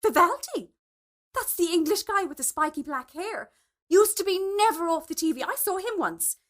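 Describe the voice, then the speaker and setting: A woman reader playing a snobbish suburban mother from a storybook, gushing and naive. Her voice sits high and swoops about freely as she chatters on, oblivious. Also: expressive, normal speed, a bit bright. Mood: gossipy, gleeful.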